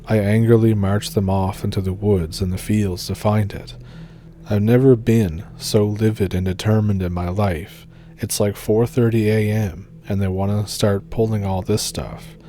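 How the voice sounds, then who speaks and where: A young man from New Brunswick with a Maritime Canadian accent, calmly reading encounter stories as a channel host. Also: fairly low pitch, unhurried, a little hiss or hum.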